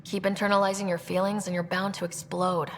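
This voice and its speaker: An actress playing a confident young expedition leader in a video game, decisive and wry. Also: female voice, medium pitch, flat delivery, brisk, a little hiss or hum, natural voice. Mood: supportive.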